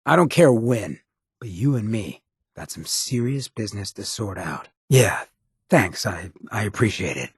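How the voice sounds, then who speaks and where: Casting: roguish ghoul game companion, heavily rasping low voice, streetwise, blunt, loyal, slangy drawl. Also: male voice, sing-song, a little slow, dry recording, exaggerated voice. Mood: warm, candid. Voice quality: husky, gravelly rasp. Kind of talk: video game companion dialogue.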